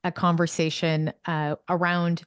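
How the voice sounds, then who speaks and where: A woman's voice: lowish voice, little pitch movement, a little slow, clear, resonant tone, no room sound, clean studio sound. A woman hosting a podcast interview, talking in an earnest, conversational way. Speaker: podcast host.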